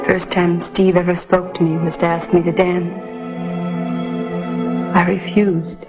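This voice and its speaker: An American actress playing an earnest, wistful young blind telegraph operator in an old TV western. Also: female voice, fairly low pitch, flat delivery, measured pace, over loud music. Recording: dull vintage TV western soundtrack.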